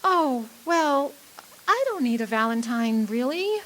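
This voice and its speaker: A woman's voice: high voice, lively intonation, slow pace, a bit bright, a little hiss or hum, exaggerated voice. A woman acting in a radio drama as an adoring, earnest young sweetheart, romantic and hopeful.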